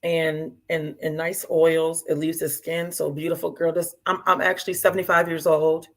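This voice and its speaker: A woman's voice: deep voice, flat delivery, moderate pace. A woman in her fifties, talking casually as a guest and repeating herself for emphasis. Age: 50s. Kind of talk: casual chat.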